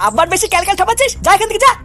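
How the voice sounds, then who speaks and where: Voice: extremely high pitch, animated, very fast, pushed and bright, soft background music, heavily acted. A performer voicing an irritable, foul-mouthed cook in a comedy cartoon, grumbling and scolding. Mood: scornful. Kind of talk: exaggerated cartoon comedy dialogue.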